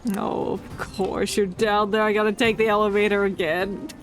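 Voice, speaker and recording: A woman's voice: medium pitch, little pitch movement, normal speed, gravelly, faint music behind. A woman game streamer giving casual live commentary, with playful, mock-dramatic asides.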